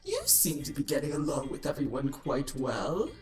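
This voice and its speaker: A woman's voice: very deep voice, big pitch swings, moderate pace, darkish tone. A woman playing a regal, scheming, calculating changeling queen in an audio drama. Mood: regal, composed. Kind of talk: fan audio drama acting. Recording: roomy echo, music underneath.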